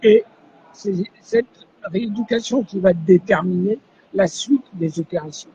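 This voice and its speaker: Soft-spoken man at an airport. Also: sits high, expressive. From Gabon. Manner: measured, explains a grievance step by step. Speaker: interviewee. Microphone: host's phone. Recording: muffled phone audio with ambient noise.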